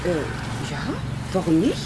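A spirited, playful woman in a children's audio drama. Her intonation swoops widely, over clear outdoor background noise. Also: on the low side, very animated, slow pace. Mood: playful, surprised.

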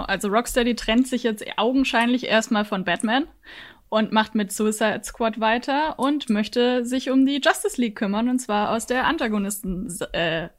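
Higher-pitched woman in casual chat. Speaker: podcast co-host. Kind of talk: casual chat, leading the discussion.

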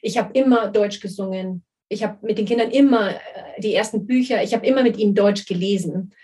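A woman's voice: medium pitch, a little fast, dark tone. Brief: woman in her 40s, native German speaker, chatty guest, talks in loose restarts. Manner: chatty, many fillers and restarts, agrees often. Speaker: guest, mother raising bilingual children. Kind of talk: casual conversation.

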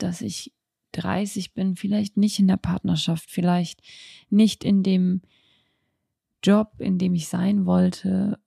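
A woman around thirty with a slightly low, noticeably creaky voice and a mellow tone. She talks as a podcast host thinking out loud, candid and reflective, in an unscripted personal style. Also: dry recording, studio quality. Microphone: handheld microphone, close.

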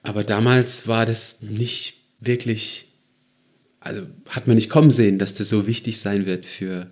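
Low-voiced man with southern German accent. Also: fairly even intonation, a little slow. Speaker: comedian guest. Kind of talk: casual chat.

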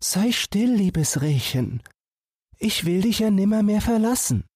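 Man as a high, breathy, pleading little girl. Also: very animated, a little slow, darkish tone, dry recording, clean studio sound, heavily acted. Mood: tender, consoling. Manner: pleading, tender. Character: anxious, pleading, devoted little girl, fairy-tale sister.

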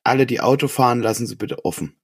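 A man's voice: mid-range voice, expressive, quick pace, breathy edge. A man hosting a podcast in a casual, conversational style. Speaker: podcast host.

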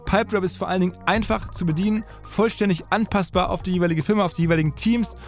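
A man's voice: high-pitched, steady tone, fast, soft background music. A man hosting a podcast in an informal way, often repeating and rephrasing as he goes. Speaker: podcast host. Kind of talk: casual interview and chat.

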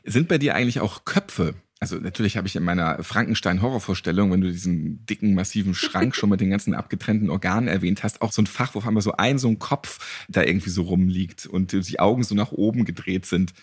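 A male interviewer with a rather low, husky voice. He chats casually with his guest, responding in everyday slang and playful wordplay. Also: quick pace, hardly any pauses. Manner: jokey, colloquial reactions with wordplay. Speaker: interviewer, podcast host.

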